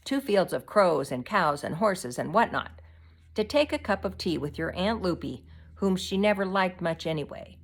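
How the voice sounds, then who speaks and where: A woman's voice: on the low side, lively intonation, moderate pace, pitched above the performer's own voice. A grim, weary storybook father, performed by a woman. He speaks with solemn reproach and plain rustic phrasing, stern toward his family. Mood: angry.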